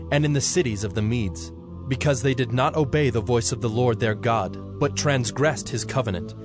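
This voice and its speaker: A man narrating scripture from a script in a solemn, measured manner. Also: medium pitch.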